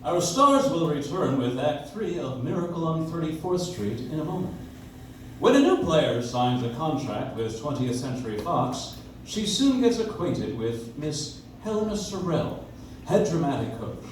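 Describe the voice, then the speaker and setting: Man as a genial vintage radio pitchman, swooping delivery, echoey, distant. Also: big pitch swings, measured pace. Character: genial radio announcer selling soap flakes. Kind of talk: 1940s radio announcing. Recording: echoey, distant, noisy audience-member recording.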